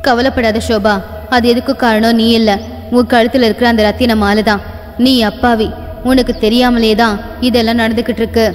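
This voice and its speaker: A woman voicing a stern but reassuring old sorceress grandmother in an animated horror bedtime story, giving firm orders and solemn incantations. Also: fairly high pitch, normal speed, long reverb tail, faint music behind, lightly acted.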